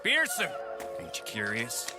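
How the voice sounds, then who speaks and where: An actor playing a swaggering, sardonic gang outlaw in a video game, taunting and bossy in manner. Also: male voice, mid-range voice, huge pitch swings, measured pace, loud music behind the voice. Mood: sardonic needling. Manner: sarcastic, taunting. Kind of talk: video game cutscene acting.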